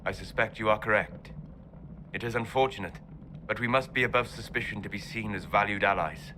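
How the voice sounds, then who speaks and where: A grave, learned elf mage. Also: male voice, fairly low pitch, sharp tone, a little hiss or hum. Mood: grave, measured. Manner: measured, scholarly delivery. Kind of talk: video game cutscene dialogue. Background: game score music.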